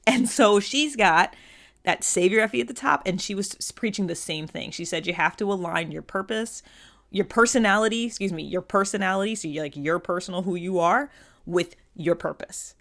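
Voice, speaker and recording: A woman's voice: mid-range voice, moderate pace, gravelly, a bit bright. A woman giving a casual, unscripted explainer as a host, conversational, often checking in with the listener and voicing imagined quotes. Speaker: host of a personality typology show.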